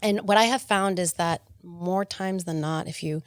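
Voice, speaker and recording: A woman's voice: lowish voice, normal speed, dry recording. Chatty Argentine-born woman guest, dry sound. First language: Spanish. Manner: friendly, reflective, restarts often. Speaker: podcast guest, HR executive. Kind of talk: conversational interview answers.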